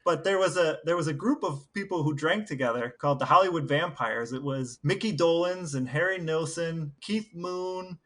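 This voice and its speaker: A man's voice: on the high side, normal speed, few pauses, fairly bright tone. A man co-hosting a podcast, chatting casually in a conversational, anecdotal way. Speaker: podcast co-host. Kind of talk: casual chat.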